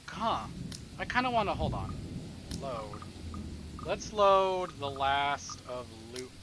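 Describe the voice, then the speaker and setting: A man with a fairly high, very animated voice gives casual livestream commentary on a game. He is expressive and profane, slipping into muttering to himself as he chats with viewers. Music plays clearly behind his voice. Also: a little hiss or hum. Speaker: live streamer.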